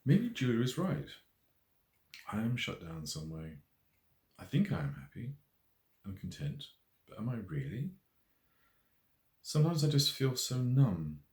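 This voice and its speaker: A man's voice: medium pitch, big pitch swings, a little slow, long pauses, darkish tone, voice pushed up. A man voicing an introspective, self-doubting single woman in an audiobook, musing and questioning herself. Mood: pensive, doubting. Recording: roomy echo.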